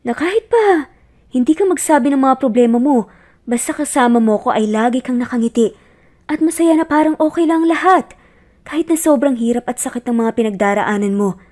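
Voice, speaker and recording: Remorseful male lover read by a high-voiced woman. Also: expressive, fairly quick, a little mellow. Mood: earnest, explaining. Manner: earnest, tender, pleading. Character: gentle, remorseful man pleading with former girlfriend. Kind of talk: romance audio story acting.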